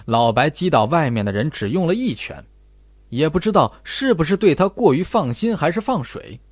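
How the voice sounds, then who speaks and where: A man's voice: on the high side, very animated, normal speed, no echo. A man reading a suspense novel aloud as a dramatic scripted narration. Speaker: audiobook narrator.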